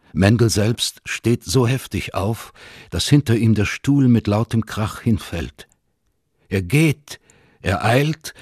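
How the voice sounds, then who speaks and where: Slightly husky male literary narrator, close and dry. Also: lowish voice, dry recording.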